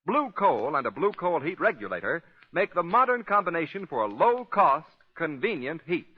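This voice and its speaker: Male 1940s radio announcer, lively and swooping, polished sales delivery, muffled vintage broadcast sound. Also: a little high, moderate pace, husky.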